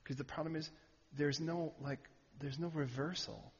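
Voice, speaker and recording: A man preaching a sermon, animated and joking with the audience, sometimes shouting out a word. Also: a little high, moderate pace. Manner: animated, shouting at peaks, jokey asides to the audience. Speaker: pastor. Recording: muffled hall recording.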